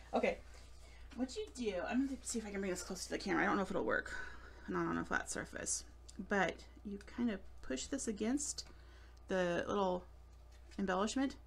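Chatty woman with a gravelly edge. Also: medium pitch, lively intonation, normal speed, long pauses, a little hiss or hum. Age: late 30s. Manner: chatty, giggly, self-deprecating asides. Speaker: craft store demonstrator. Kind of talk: live craft demonstration. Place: indoor craft table. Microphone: overhead camera above table.

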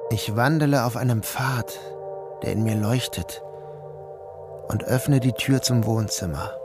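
A man's voice: medium pitch, measured pace, over loud music, close to an everyday voice. A man acting a troubled, bewildered son who narrates in a literary first-person radio drama. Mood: dreamlike, brooding. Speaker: narrator and protagonist.

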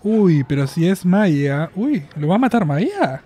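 A man in his twenties with an Argentine Spanish accent, talking through a casual spoken review in a small room on a boom mic, chatty and quick to laugh, with drawn-out fillers. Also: very animated, dark tone, breathy edge, soft background music. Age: 20s. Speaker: reaction video host. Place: small room in front of packed collectible shelves. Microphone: studio mic on boom arm, headphones on.

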